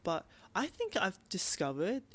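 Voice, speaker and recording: A woman's voice: medium pitch, huge pitch swings, measured pace. A woman chatting casually about books as a podcast co-host, informal and conversational, often voicing her own thoughts as quotes. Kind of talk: casual book discussion chat.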